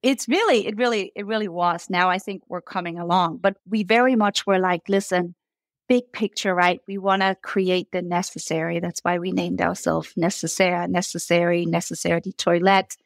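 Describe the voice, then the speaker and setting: Low Danish-accented woman, dry close mic. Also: fairly even intonation, moderate pace, no echo. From Denmark. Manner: warm, earnest, repeats words when starting a thought. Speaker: guest, company founder. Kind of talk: casual interview.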